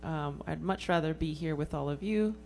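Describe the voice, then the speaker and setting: A woman answering a panel question in a hesitant, quiet way, with many pauses and ums. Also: low-pitched, creaky, soft background music.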